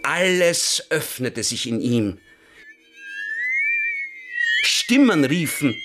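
An actor playing a wry crime-tale narrator in a radio drama, deliberate and relishing each short phrase. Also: male voice, fairly high pitch, big pitch swings, loud music behind the voice, exaggerated voice. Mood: rapt, lyrical. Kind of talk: scripted first-person radio drama narration.

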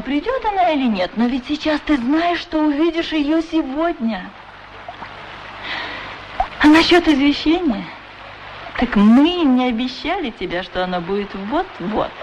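A magical pair of talking golden shoes in a 1960s radio drama. The voice is high and swoops expressively, coaxing and gently chiding like a storyteller. The recording is dull, with some hiss. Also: female voice. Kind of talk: radio play acting.

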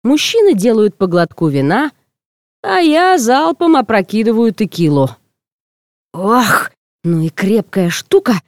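A woman reading first-person comic fiction aloud as an audiobook narrator, in an expressive, theatrical way. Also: fairly high pitch, moderate pace, no echo, studio quality.

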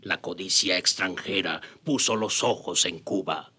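A man's voice: low voice, a little slow, music in the background. An actor narrating a radio drama as a dignified Taíno man recounting his people's history in a solemn storytelling manner.

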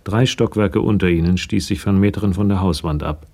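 A man narrates a radio crime play in a very low, mellow voice. There is a gravelly creak to it, and the delivery is that of scripted literary storytelling. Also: a little fast.

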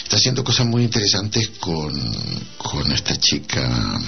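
A man's voice: low-pitched, sing-song, normal speed, creaky. A man hosting a casual radio interview, rambling and repeating words as he searches for them. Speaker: radio host. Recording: dull lo-fi radio broadcast.

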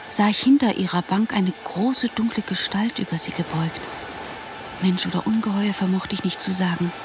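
An actress narrating a radio drama in German as a solemn young woman, with measured, suspenseful storytelling. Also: mid-range voice, background noise, lightly acted. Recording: music and rain behind narration.

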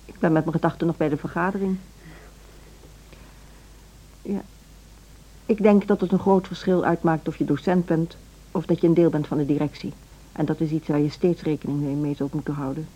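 A woman playing a cool, authoritative middle-aged music school director in a Dutch radio play, speaking in a measured, formal, pragmatic way. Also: sits low, fairly even intonation, warm tone, slightly rough, low-quality recording. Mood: measured, admonishing.

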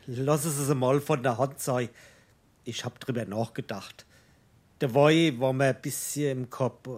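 A man's voice: mid-range voice, measured pace, darkish tone, close to an everyday voice. An actor playing a good-natured, naive young craftsman in Darmstadt dialect, plain and amiable, in a stage comedy. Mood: amiable, sheepish. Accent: Hessian, Darmstadt dialect.

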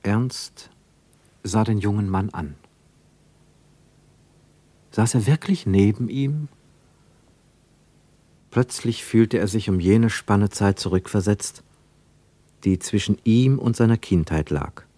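A man's voice: fairly low pitch, slow, long pauses, warm tone, no echo. A man narrating a scripted literary radio play as a solemn, dramatic storyteller. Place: dry radio studio.

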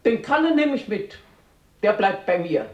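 An actress in a radio play playing a plucky, brusque but warm-hearted old grandmother, raspy and lively, muttering and exclaiming. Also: mid-range voice, moderate pace, dull audio. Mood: resolute, indignant. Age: older.

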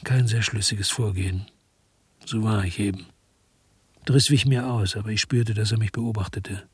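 Very husky, world-weary policeman narrator. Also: fairly low pitch, fairly even intonation, moderate pace, no room sound. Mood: brooding regret. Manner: brooding, understated. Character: disillusioned Marseille policeman narrating, weary, guilt-ridden, laconic. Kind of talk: radio drama first-person narration and dialogue.

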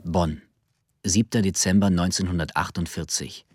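A male announcer reads short scripted captions. His voice is very low and husky. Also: fairly even intonation, normal speed, a little mellow. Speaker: announcer of names and dates.

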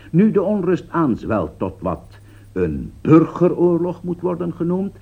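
An actor playing a solemn political commentator in a radio play, delivering formal, rhetorical commentary with foreboding gravity. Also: male voice, fairly high pitch, a little mellow, slightly rough, full character voice. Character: grave male radio political commentator foretelling catastrophe. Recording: dull, lo-fi radio play recording.

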